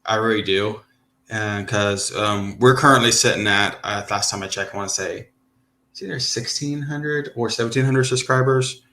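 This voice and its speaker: A man in his thirties hosts a casual podcast chat, his voice sitting a little low but sounding bright and forward. He talks loosely, circling back with fillers and restarts as he thinks. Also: normal speed, slight background noise. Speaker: podcast host.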